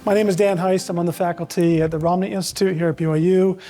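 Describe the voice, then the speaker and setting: A man's voice: high-pitched, fairly even intonation, fast, warm tone, soft background music. A man introducing a guest and interviewing at a live event in the manner of a university teacher.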